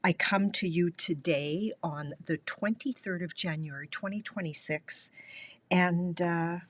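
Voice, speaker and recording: Woman, middle-aged, stuffy head cold, slightly scratchy, lower voice, chatty live-stream host. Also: unhurried. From Canada. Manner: chatty, greets viewers by name, many fillers. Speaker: craft demonstrator hosting a live stream. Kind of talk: casual live-stream tutorial chat.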